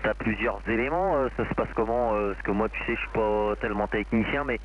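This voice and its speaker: Low-voiced Frenchman over dull, noisy radio. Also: brisk. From France. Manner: hesitant, rambling. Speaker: amateur radio operator. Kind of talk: casual radio chat. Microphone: amateur radio link.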